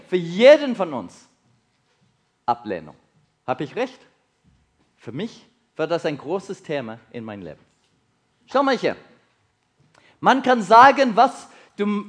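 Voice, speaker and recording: A man from South Africa whose first language is English, with a South African accent, preaching a sermon in halting, non-native German and putting questions to his listeners. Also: on the high side, fairly bright tone. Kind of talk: sermon to a congregation.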